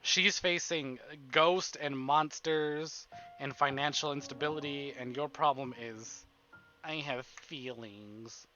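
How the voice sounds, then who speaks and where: Man, expressive story narration, exasperated commentary, piercing bright tone, music behind, slightly dull recording. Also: a little high, takes it slowly. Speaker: livestreamer reading a visual novel aloud. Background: game music.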